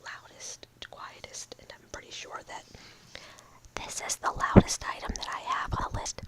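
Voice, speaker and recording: A young woman in her room, whispering slowly and softly into a close mic in a casual ASMR ramble, her voice a little odd after a cold. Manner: slow, halting, talks with fillers and small laughs. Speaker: ASMR video creator.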